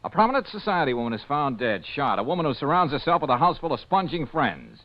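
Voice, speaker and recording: A man's voice: fairly high pitch, big pitch swings, fast talker. A man with an American accent playing a brash, sardonic, wisecracking private detective in an old-time radio drama. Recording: muffled vintage radio recording.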